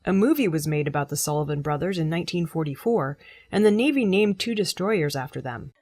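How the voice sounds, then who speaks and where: A woman reading scripted news as a presenter, in a measured, formal delivery. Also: low voice, expressive, a little mellow, no room sound. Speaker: news reader.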